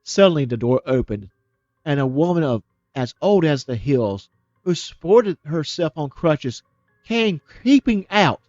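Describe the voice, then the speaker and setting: Male storybook reader, fairly high voice, plain steady reading; muffled dry recording with soft music bed. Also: a little slow, no echo. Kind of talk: reading a fairytale aloud.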